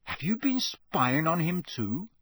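Man playing indignant young wizard boy, raised pitch. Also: very animated, unhurried, husky, dry recording, exaggerated voice. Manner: outraged, awkward, defensive.

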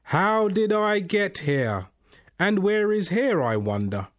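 A man's voice: fairly low pitch, unhurried, a little mellow, no echo, clean studio sound, lightly acted. A man voicing a confused, anxious, apologetic everyman in a storybook tale, hesitant and polite. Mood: bewildered.

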